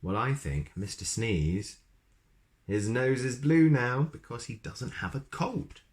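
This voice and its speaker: A man reading a children's story aloud in an expressive way, with explanatory asides to young viewers. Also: medium pitch, slow. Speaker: storybook reader.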